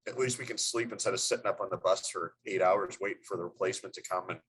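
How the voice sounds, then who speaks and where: Low-voiced man, rambling coach talk. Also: quick pace, fairly bright tone, poor audio. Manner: rambling, conversational, many fillers and restarts. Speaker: hockey head coach. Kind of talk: press conference answers.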